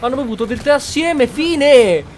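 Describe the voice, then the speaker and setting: Lively, blunt game streamer. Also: high voice, normal speed, a little hiss or hum. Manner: blunt, exclaiming, colloquial. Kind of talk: casual game commentary.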